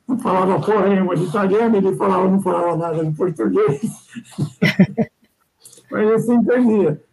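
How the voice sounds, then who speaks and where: A man's voice: high-pitched, vocal fry, darkish tone, rough recording. An older man from Brazil telling sailing stories in a rambling, casual way, tagging sentences with "né?" and restarting often. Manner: rambling, frequent "né?" tags and restarts. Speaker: guest sailor. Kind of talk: casual storytelling chat.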